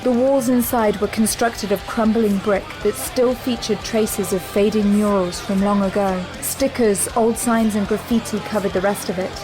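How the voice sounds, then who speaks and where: A woman narrating an audio drama in a measured, descriptive way. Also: medium pitch, low-quality recording. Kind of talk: scripted narration. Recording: narration over music.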